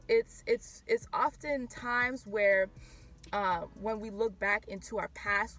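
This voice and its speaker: A woman's voice: mid-range voice, fairly bright tone. A young woman born in Haiti and raised in Florida, telling her personal faith story in a casual, candid vlog, with many fillers and restarts. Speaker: vlogger.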